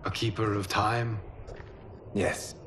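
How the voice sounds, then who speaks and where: An actor playing a wise guardian of time, measured and reassuring, in a solemn video game scene. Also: male voice, on the low side, little pitch movement, a bit bright, slight background noise. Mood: calm, reassuring.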